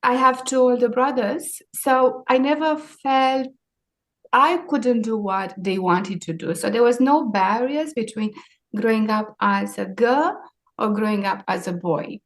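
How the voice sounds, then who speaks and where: Slow, high-voiced Romanian woman. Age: 40s. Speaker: interview guest, maritime sustainability leader. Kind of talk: conversational interview answers.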